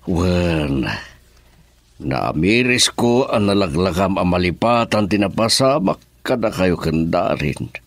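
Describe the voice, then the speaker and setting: Very deep, slow old Ilocano father. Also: lively intonation, dry recording, full character voice. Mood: calm, resolved. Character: elderly, patient, caring village father of a grown daughter. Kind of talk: radio drama acting.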